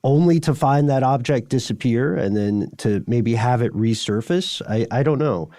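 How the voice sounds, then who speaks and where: A man's voice: medium pitch, animated, normal speed, warm tone, dry recording, clean studio sound. A man hosting a podcast in casual conversation, wordy and discursive as he feels out his phrasing. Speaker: podcast host.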